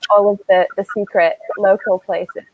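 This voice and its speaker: A woman with an American accent, an online English tutor chatting through a casual lesson on a video call, unhurried and laughing lightly between sentences. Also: mid-range voice, flat delivery, no room sound, phone-quality sound. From United States.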